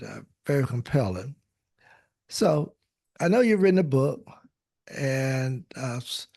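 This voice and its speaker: A man hosting a podcast, speaking haltingly with many pauses and restarts. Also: medium pitch, vocal fry, darkish tone, dry recording. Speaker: podcast host. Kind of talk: interview.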